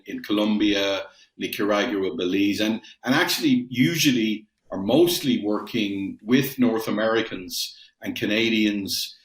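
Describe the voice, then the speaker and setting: A man's voice: lowish voice, echoey room, low-quality recording. A middle-aged Irish man from Dublin answering interview questions conversationally, with frequent fillers and restarts. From Ireland. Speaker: guest, metals company founder. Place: home in Ireland, roomy.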